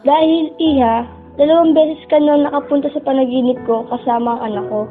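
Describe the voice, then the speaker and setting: A woman acting a high-pitched worried mother, tinny audio. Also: steady tone, a little fast, close to an everyday voice. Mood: astonished. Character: worried, sorrowful mother, middle-aged, gentle, hoping. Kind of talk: radio drama acting. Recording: muffled phone-like sound with music underneath.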